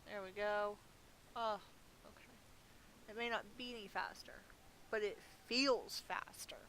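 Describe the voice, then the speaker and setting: A woman's voice: mid-range voice, fairly even intonation, unhurried, fairly bright tone. A woman giving casual live gameplay commentary as a streamer, chatty and thinking aloud. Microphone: budget gaming mic. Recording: faint hiss from a cheap microphone.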